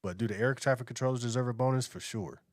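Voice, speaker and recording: A man's voice: medium pitch, fast talker, no echo, studio quality. An American man giving casual, opinionated commentary as a reaction video host, in slangy, conversational speech. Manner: colloquial, slangy, conversational delivery.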